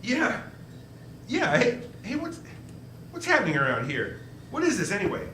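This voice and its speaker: A man playing a troubled, wry everyman in a 1940s-style radio play, with halting, folksy, stammering speech. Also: high voice, huge pitch swings, pushed and bright, roomy sound, a little hiss or hum, full character voice. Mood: baffled, agitated. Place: indoor stage performance.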